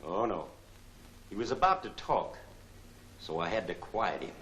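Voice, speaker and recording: 1940s gangster underling: tough, terse, low-toned, echoing and slightly noisy film soundtrack. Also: male voice, big pitch swings, a little slow, fairly bright tone, full character voice. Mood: defensive. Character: deferential male gang henchman, tough and terse. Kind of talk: 1940s film serial acting.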